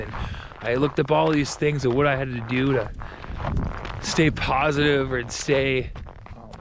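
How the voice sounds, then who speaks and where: A young man from Minnesota with a loud voice, a real estate agent chatting casually to camera while walking his dog outdoors, rambling with restarts. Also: mid-range voice. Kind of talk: walking vlog talking to camera. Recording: outdoor noise and passing vehicles.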